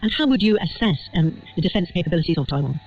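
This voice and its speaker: A woman's voice: fairly low pitch, expressive, very fast. A British woman presenting live radio news and putting questions to guests as an interviewer. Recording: slightly dull radio broadcast.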